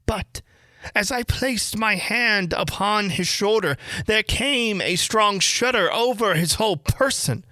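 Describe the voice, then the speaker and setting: Slow, theatrical woman reading gothic horror. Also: medium pitch, pushed and bright, no room sound. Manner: theatrical, deliberate phrasing. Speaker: storyteller reading a classic horror tale. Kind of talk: dramatic scripted gothic narration.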